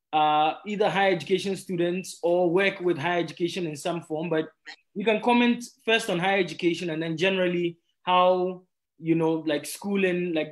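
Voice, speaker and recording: A man with a fairly high voice hosts an online panel. He is courteous and conversational, guiding people along in an informal moderator's style. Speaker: webinar moderator.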